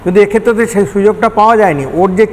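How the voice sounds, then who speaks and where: A man's voice: high voice, very fast. An older man with a Kolkata Bengali accent, explaining step by step as an expert interview guest and mixing in English technical terms. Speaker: expert guest, former air traffic control manager. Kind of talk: explanatory news interview answers. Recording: echoey hall with background noise.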